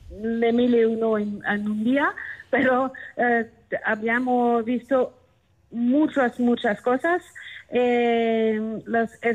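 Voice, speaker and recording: A woman's voice: a little high, rough recording. A woman with a French accent, a native French speaker, answering interview questions hesitantly while she searches for words. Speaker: tourism office guest. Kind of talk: interview answers in a second language.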